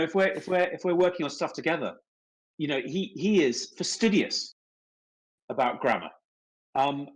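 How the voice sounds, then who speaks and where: A man's voice: a little high, rough recording. A middle-aged man with a British English accent, telling anecdotes casually and emphatically, often repeating words as he goes. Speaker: company executive on livestream.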